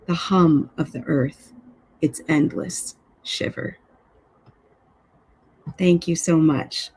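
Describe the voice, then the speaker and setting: Slow, gravelly-voiced woman poet. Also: deep voice, darkish tone, rough recording. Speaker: poet reading own work. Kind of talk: measured poetry reading.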